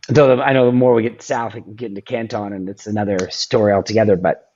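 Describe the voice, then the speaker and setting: A man's voice: lowish voice, steady tone, fast, darkish tone, muffled recording. A man as a podcast guest, chatting casually with many ums and restarts. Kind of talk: casual interview chat.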